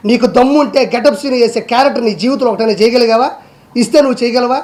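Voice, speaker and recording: A man's voice: very high voice, fairly even intonation, racing, darkish tone. A man delivering a heated rebuttal in a combative way, firing off one rhetorical challenge after another.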